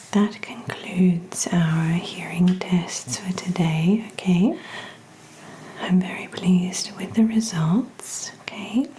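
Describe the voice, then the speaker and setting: A woman whispers slowly and breathily in a gentle ASMR medical role-play. Her voice is mellow and fairly low, with a reassuring, encouraging manner. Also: a little hiss or hum, rough recording. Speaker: ASMR performer role-playing a hearing examiner.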